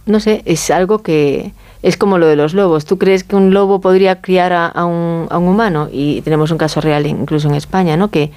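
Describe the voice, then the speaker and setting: A woman from Spain with a Castilian accent speaks in a soft-edged, mellow voice pitched a little low. She explains informally as an interview guest, in a conversational way, often ending phrases with a short checking question. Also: fairly even intonation, moderate pace, few pauses. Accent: Spanish from Spain. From Galicia, Spain. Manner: conversational, often seeks agreement with tag questions.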